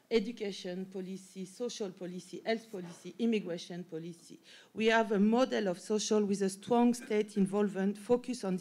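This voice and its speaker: A woman's voice: mid-range voice, a little mellow. A woman with a French accent and French as her first language, giving an academic conference talk with frequent restarts and self-corrections. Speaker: professor and panel speaker.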